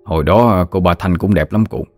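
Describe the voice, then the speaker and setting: Storybook nephew played by a male narrator: Southern Vietnamese, respectful, patient, low register, soft music underneath. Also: normal speed, no room sound, close to an everyday voice. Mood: amused, patient. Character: easygoing younger man patiently explaining things to elder. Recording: music behind the voice.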